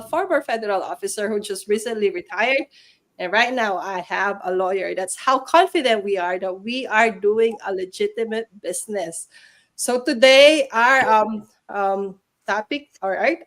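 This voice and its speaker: A woman hosting a livestream chat, upbeat and persuasive, pitched a touch high. She talks with plenty of fillers and restarts. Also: fairly bright tone. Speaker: host. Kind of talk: livestream interview.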